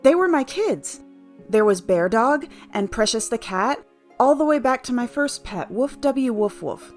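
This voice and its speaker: A woman playing an earnest, excitable animal-loving veterinarian in an audio play, with expressive, theatrical delivery. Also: a little high, unhurried, soft background music. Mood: wistful.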